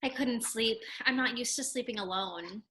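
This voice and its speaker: Clingy sweetheart played by a woman: bright, forceful, highish voice, dramatic and sassy, table read. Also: moderate pace. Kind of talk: script read-through.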